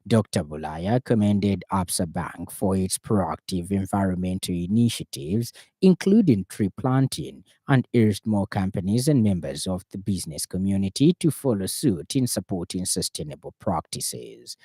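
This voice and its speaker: A man's voice: sits low, expressive, normal speed, few pauses, warm tone, husky, no echo. A man with a Zambian accent reading a scripted news bulletin on the radio from a studio.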